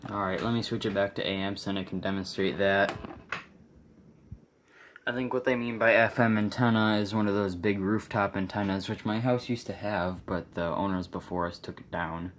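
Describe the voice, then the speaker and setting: A man giving a casual, unscripted demonstration, halting and offhand as he thinks aloud. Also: fairly low pitch, steady tone, a little hiss or hum. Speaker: video creator demonstrating a vintage radio.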